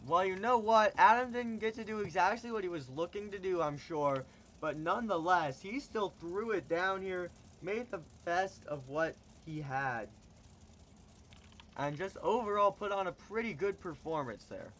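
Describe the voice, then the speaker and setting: A man's voice: animated, fairly bright tone, a little hiss or hum, low-quality recording. A man announcing a monster truck show in the style of an arena announcer, stretching out his hype calls, and he is losing his voice. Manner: booming, drawn-out hype calls. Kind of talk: hyped arena announcer commentary.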